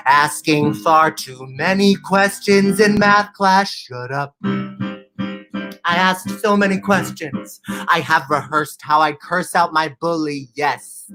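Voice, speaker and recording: Young guy doing comedy songs, theatrical n self-mocking, takes it real slow, guitar under him. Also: on the high side, fairly bright tone. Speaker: musical comedian. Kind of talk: comedy songs and stage patter for an online show.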